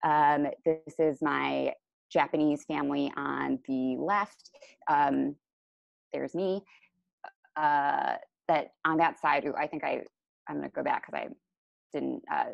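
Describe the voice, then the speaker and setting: A young woman with a low voice and heavy vocal fry talks through her slides in a loose, relaxed way, with plenty of ums and restarts. The sound is dry and a bit dull, like a video call from a small room. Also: no room sound. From Chicago area, USA. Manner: relaxed, many ums and self-corrections. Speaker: panelist. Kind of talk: casual presentation over slides.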